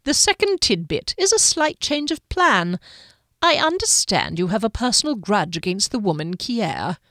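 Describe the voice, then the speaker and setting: A woman's voice: medium pitch, very animated, normal speed, a bit bright, no echo, clean studio sound. A woman voicing a pale male lieutenant who is cheerful and menacing, speaking in a mocking, theatrical, sing-song way. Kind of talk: audiobook character voice.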